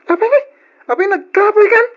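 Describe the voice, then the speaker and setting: An actor playing a lively, teasing, excitable companion in an old radio drama. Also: very high-pitched, a little fast. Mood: teasing, encouraging. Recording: dull lo-fi old radio recording.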